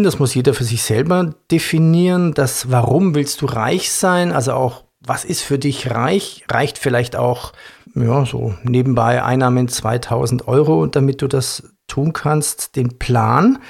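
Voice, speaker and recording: A man's voice: medium pitch, vocal fry. A man hosting an interview, recapping points and asking questions in an informal, colloquial way. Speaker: interview host.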